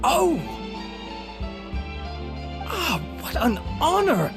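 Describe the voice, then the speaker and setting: An actor playing a handsome, vain, suave inventor who gives a grandiloquent, theatrical sales pitch in a video game. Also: male voice, big pitch swings, drawn-out words, creaky, heavily acted. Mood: flattering. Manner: pompous, grandiloquent. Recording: music bed behind voice.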